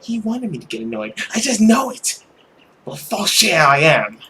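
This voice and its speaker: Man acting a bitter, sardonic, lively girl. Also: sits high, moderate pace, exaggerated voice. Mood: bitter, sarcastic. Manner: sardonic, theatrical. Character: bitter, haughty, sarcastic girl of a genteel household.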